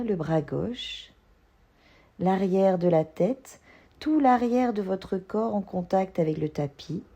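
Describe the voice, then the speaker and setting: A woman yoga instructor leading a guided relaxation meditation, giving gentle, soothing instructions. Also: deep voice, breathy edge.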